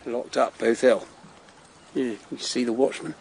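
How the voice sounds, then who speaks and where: A man's voice: mid-range voice, steady tone, moderate pace, creaky, a little mellow, slight background noise, lightly acted. A man acting an earthy, wry and plaintive working-class Londoner of plague times in a radio drama, talking colloquially. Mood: sombre, anxious.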